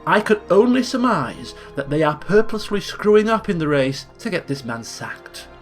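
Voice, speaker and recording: A man with a slightly hoarse voice, hosting a chatty let's play and walking through game menus. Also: sits high, very animated, normal speed, soft background music. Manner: upbeat, chatty, explains game menus step by step. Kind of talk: casual gameplay commentary.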